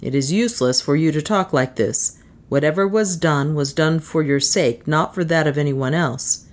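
A proud, protective adventurer in an audiobook tale, voiced by a female narrator. He talks in a low voice, grave and formal, with stern firmness. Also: normal speed, lightly acted. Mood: firm. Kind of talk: dramatised audiobook dialogue.

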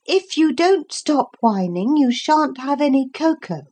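A woman's voice: high-pitched, lively intonation, warm tone, voice pushed up. A woman doing a firm, motherly young girl. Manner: firm, brisk.

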